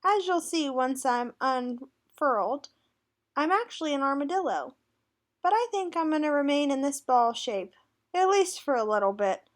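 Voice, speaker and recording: A woman's voice: sits high, animated, moderate pace. A woman voicing a nervous, forgetful male armadillo teacher in a picture book, hesitant and bashful. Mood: nervous, sheepish. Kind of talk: picture book read aloud.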